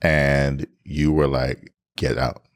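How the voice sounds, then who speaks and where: A man with a deep voice co-hosting a podcast, candidly retelling a story and acting out his own past lines. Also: little pitch movement, slow. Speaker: podcast co-host. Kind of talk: candid storytelling in casual chat.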